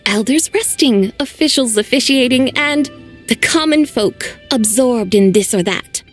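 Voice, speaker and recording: A woman's voice: on the high side, lively intonation, measured pace, soft background music. An actress voicing an ancient, aloof female mountain spirit who talks in formal, old-fashioned phrasing with dry teasing. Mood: reflective. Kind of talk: video game voice acting.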